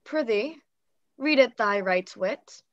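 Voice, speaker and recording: A woman's voice: a little high, expressive, takes it slowly, no echo. A woman playing a dignified noble lady in a Shakespeare comedy read over video call. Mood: brisk, commanding. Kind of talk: live table read of Shakespearean verse drama. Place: indoor room, video call. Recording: dull video-call audio.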